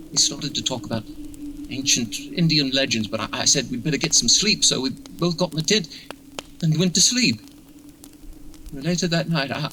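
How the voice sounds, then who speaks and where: An actor playing a shaken young man lost in the wilderness, telling things haltingly in an audio horror drama. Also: medium pitch, bright, forceful tone, slight background noise, poor audio. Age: young adult. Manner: halting, stammering, haunted.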